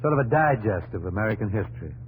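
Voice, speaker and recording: A man's voice: on the low side, animated, quick pace, a little hiss or hum, natural voice, poor audio. A man playing a folksy father who spins yarns about history in a 1950s radio drama. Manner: folksy, easygoing.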